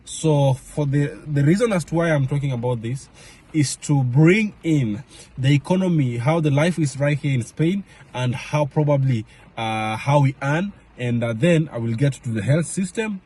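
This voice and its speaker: A young man from Kenya talking casually to camera in a vlog, halting with many fillers and restarts. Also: mid-range voice, warm tone, breathy edge. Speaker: vlogger. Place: home in Madrid area.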